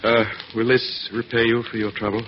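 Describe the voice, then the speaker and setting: An actor playing a smooth, earnest gentleman rescuer, formal and theatrical in old radio drama style. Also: medium pitch, flat delivery, moderate pace, natural voice. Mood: polite. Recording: muffled, hissy vintage radio recording.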